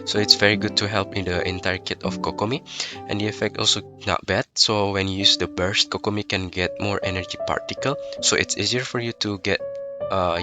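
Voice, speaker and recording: Young man, sick, deep voice, non-native English, casual game guide over loud music. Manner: explanatory, laughs now and then. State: sick, voice affected. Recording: music playing underneath.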